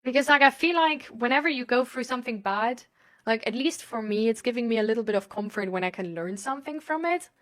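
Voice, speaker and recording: German-born woman host, kinda high voice, just chatting, rambles and thinks out loud, giggly. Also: fast talker. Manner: hesitant, rambling, thinking aloud; giggly, laughs often while talking. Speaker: host chatting live with viewers. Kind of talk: casual chat.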